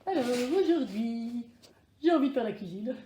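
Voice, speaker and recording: Childish, self-pitying loner for a kids' sketch, played by a woman: highish, mellow, slangy, exaggerated muttering to self. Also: animated, moderate pace, roomy sound, muffled recording, a little hiss or hum, natural voice. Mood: excited. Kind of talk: playful children's show sketch.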